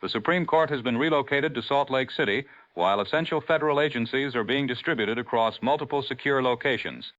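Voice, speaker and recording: A male actor as a solemn news anchor in a 1940s radio drama. His delivery is formal and measured, with a bright, projecting edge. The sound is slightly muffled, like vintage radio. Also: mid-range voice, lightly acted. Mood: grave, composed. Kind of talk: 1940s radio newscast acting.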